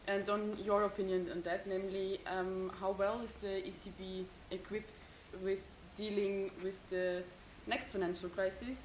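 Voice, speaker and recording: A woman's voice: medium pitch, flat delivery, moderate pace, few pauses. A young woman student in the audience of a lecture hall, asking a long question with many hesitant fillers. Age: young adult. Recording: roomy lecture hall with faint hiss.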